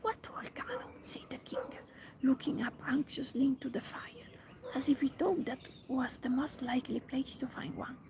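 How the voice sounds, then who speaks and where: Woman narrator, whispered ASMR storybook reading, high-pitched. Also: fairly even intonation, moderate pace. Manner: soft unvoiced whisper throughout.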